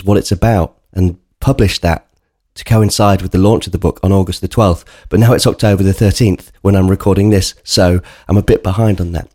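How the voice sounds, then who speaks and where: A man's voice: fairly low pitch, mellow, dry recording, studio quality. A man with a cold, sounding bunged up, chatting earnestly and directly to listeners as a podcast host. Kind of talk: casual spoken podcast introduction.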